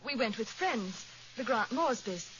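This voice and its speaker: A well-bred young lady in a vintage radio drama. Her voice is lively and rather high, polite yet theatrical, on a dull, faintly noisy recording. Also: normal speed. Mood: polite, composed. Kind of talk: 1940s radio acting.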